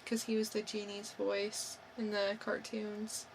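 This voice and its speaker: A woman's voice: mid-range voice, completely flat delivery, measured pace, slight background noise, low-quality recording. A woman co-hosting a podcast in casual, informal chat, often in short replies. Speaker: podcast co-host.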